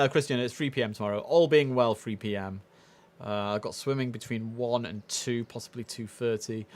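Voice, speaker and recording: A man hosting a casual game livestream, chatting away and slipping into clipped air traffic radio phrasing. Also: medium pitch. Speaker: livestream host. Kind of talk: casual game streaming commentary. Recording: steady background noise.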